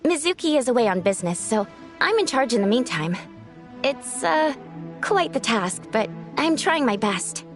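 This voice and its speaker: A woman voicing a gentle, courteous, slightly flustered young spirit in an anime-style video game. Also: on the high side, very animated, normal speed, faint music behind. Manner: courteous, hesitant.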